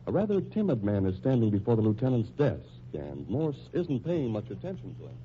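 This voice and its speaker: An actor playing the announcer and narrator of an old radio mystery show, delivering scripted lines. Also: male voice, fairly low pitch, sing-song, brisk, a little hiss or hum. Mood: measured, inviting. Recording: muffled old radio recording with music.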